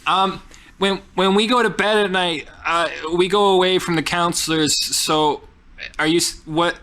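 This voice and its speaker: A man's voice: high voice, fairly even intonation, a little slow, bright, forceful tone, poor audio. A man voicing anxious, argumentative camp kids in a tabletop game, earnest and stumbling over the words. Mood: worried. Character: anxious, argumentative young camper kid.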